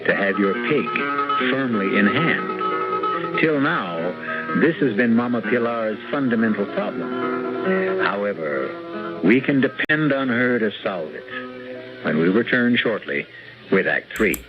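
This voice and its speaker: Man, low animated voice, arch radio drama host, measured storytelling, loud music behind. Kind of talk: scripted old-time radio narration. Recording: dull lo-fi old radio recording.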